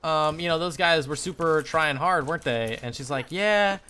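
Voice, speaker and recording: A young man telling a casual story while gaming on a livestream, animated and slangy, swearing freely. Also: a little high, moderate pace, clean tone. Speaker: live streamer.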